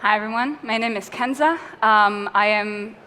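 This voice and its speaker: A woman's voice: mid-range voice, normal speed, bright, forceful tone, slight background noise. A woman from Tunisia speaking as a curator on a conference panel, friendly and a little hesitant. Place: conference hall. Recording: long hall reverb, faint ambience.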